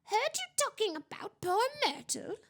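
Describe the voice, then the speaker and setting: A woman's voice: very high voice, very animated, normal speed, a bit bright, voice pushed up, heavily acted. A woman voicing a sly male poltergeist who taunts with mock sweetness and jeering bellows in a storybook. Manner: wheedling sweet talk that breaks into bellowed jeers.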